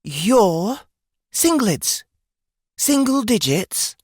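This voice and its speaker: Narrator as a brash high-voiced fantasy woodswoman. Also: sing-song, very slow, slightly rough, no echo, clean studio sound, exaggerated voice. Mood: amused, mocking. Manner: blunt, amused, exclamatory. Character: brash, mocking, experienced woodswoman of fantasy world.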